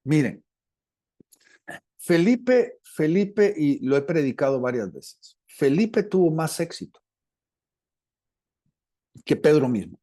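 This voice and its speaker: Mellow Latin American male preacher. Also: a little high, very animated. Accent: Latin American Spanish. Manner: deliberate, repeats phrases for emphasis. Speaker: preacher, apostle. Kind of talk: sermon teaching.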